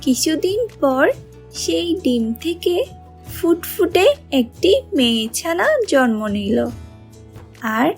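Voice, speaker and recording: A woman reading scripted narration for a children's animated story, as its storyteller. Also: high voice, slow pace, vocal fry. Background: music and birdsong.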